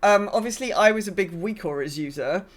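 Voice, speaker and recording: A woman with a medium-pitched voice talks as a gaming podcast co-host. Her delivery is casual and conversational. She thinks aloud, hesitating and hedging as she goes. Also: brisk.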